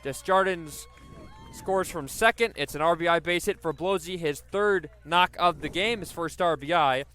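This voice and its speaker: A man's voice: on the high side, moderate pace, bright, forceful tone, clean tone. A man doing live radio baseball play-by-play, describing the action and working in stats as he goes. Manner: descriptive, stat-laden delivery.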